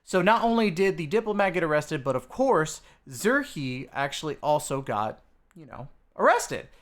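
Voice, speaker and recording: A man's voice: on the high side, big pitch swings, normal speed, fairly bright tone. A man hosting a video, giving a casual, informal game story recap straight to his viewers. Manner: informal, addresses viewers as dudes and guys. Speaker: video host.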